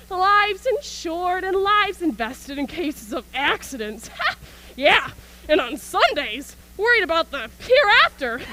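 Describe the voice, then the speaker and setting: A woman's voice: very high-pitched, a bit bright, slight background noise, full character voice, low-quality recording. A woman acting an embittered, manic militia soldier in a stage radio play, ranting in colloquial speech about surviving an invasion. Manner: colloquial, ranting, punctuated by scornful laughs. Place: school auxiliary gym.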